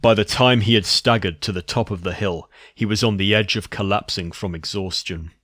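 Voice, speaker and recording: A man narrates a dark horror tale as a scripted reading. His voice sits fairly low, and the sound is dry with no room around it. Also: normal speed, dry recording.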